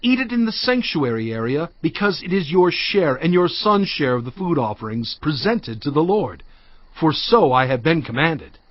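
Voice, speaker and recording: A man's voice: fairly high pitch, big pitch swings, moderate pace, no room sound, dull audio. A man playing a stern, commanding prophet in a dramatised audio Bible, speaking in a solemn, emphatic, declamatory way. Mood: instructing. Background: background music.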